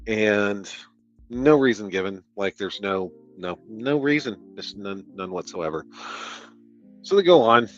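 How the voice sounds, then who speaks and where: A man's voice: lowish voice, lively intonation, measured pace, a bit bright, muffled recording, soft background music. A man from the Detroit area with a Midwestern American accent, casually and sarcastically reviewing a book on a livestream, swearing and laughing as he goes. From Detroit area, United States. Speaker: streamer reviewing a novel.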